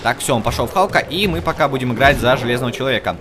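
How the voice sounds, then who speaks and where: A man's voice: mid-range voice, expressive, very fast, a crowd in the background. A man giving casual let's play commentary as host, chatting to the game's characters and to his viewers. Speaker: gaming video host.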